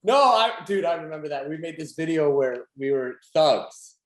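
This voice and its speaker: Young guy hosting a podcast, kinda high voice, lots of likes and laughing, roomy echo. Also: normal speed. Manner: animated, laughs often, many fillers. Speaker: podcast host. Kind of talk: casual chat. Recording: echoey room.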